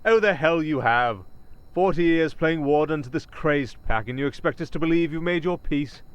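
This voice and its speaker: Sly, higher-voiced werewolf villain, played by a man. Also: normal speed, highs cut off, lightly acted. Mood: scornful, threatening. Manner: smooth-talking, mocking, theatrical. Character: sly, menacing werewolf gang leader, falsely polite villain. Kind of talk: ASMR roleplay villain acting.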